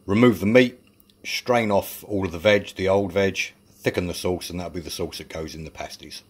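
A man's voice: sits low, normal speed. A man chatting casually through a home cooking video, explaining each step with self-mocking asides. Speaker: home cook. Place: small home kitchen.

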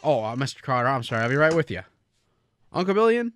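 A man's voice: medium pitch, sing-song, quick pace, a bit bright. A man acting in a radio drama as an earnest, kindly but easily frantic small-town businessman, folksy and emphatic, with an American accent. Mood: hurried, worried. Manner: folksy, emphatic, stammering when pressed.

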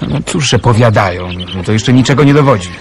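An actor playing a hesitant, war-weary young resistance man in a radio drama, halting and repeating his words. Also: mid-range voice, animated, background noise, natural voice. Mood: alarmed, stubborn. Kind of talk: Polish radio drama acting.

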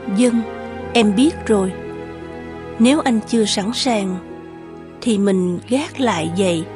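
A woman voicing a gentle young woman in love in an animated folktale, deferential and yearning. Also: medium pitch, slow, over music, lightly acted. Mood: resigned.

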